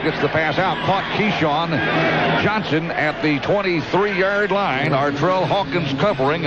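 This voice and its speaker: High-pitched American football play-by-play man. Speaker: radio play-by-play announcer. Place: outdoor stadium broadcast booth. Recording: dull radio broadcast, loud stadium noise. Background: loud stadium ambience.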